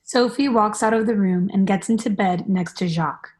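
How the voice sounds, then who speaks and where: A woman's voice: mid-range voice, moderate pace, a little mellow, natural voice. A young woman reading stage directions as scripted narration for a radio play. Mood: descriptive. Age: young adult.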